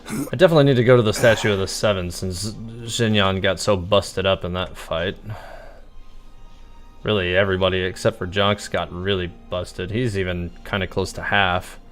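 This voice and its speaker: A man's voice: sits low, steady tone, moderate pace. A man giving casual, easygoing live game commentary as a streamer, thinking aloud as he plays. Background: game music.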